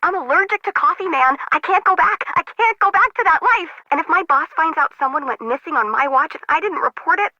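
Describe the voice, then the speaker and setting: Quirky, anxious young female radio operator for a game: animated, slangy, slightly muffled radio sound. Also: very high voice, a little fast, few pauses, no echo, exaggerated voice. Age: young adult. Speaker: harbor control tower operator. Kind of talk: video game voice acting.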